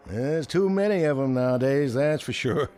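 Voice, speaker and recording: An actor playing a folksy, obliging big-city shopkeeper in a radio drama, chatty and colloquial. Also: male voice, medium pitch, animated, normal speed, dark tone, soft background music, natural voice. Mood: soothing, chatty.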